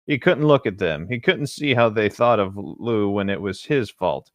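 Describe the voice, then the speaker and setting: A man's voice: on the low side, expressive, normal speed, no echo, clean studio sound. A man co-hosting a mock story reading, narrating aloud and chatting casually about it. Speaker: co-host.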